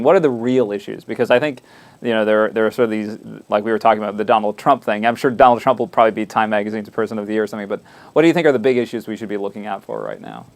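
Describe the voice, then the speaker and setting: Fast-talking low-voiced male interviewer. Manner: rambling, halting questions with many restarts. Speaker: interviewer, host. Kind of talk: conversational interview questions.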